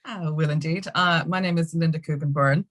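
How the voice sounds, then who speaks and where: A woman's voice: low-pitched, steady tone, normal speed, fairly bright tone. An Irish woman telling her story as a podcast guest in a chatty, informal way. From Ireland. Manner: chatty and informal, with mild swearing. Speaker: podcast guest, music consultant and campaigner. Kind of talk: casual chat, personal storytelling.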